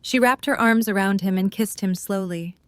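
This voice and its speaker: A woman's voice: mid-range voice, normal speed, a bit bright, no room sound. A woman reading scripted narration for an audio drama as its storyteller.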